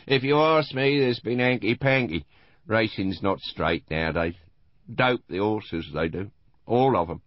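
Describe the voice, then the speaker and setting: A man's voice: lowish voice, a little slow, dry recording, highs cut off, voice pushed up, natural voice. A man voicing a grumbling, suspicious pub regular who talks in a knowing way. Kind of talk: audiobook character voice.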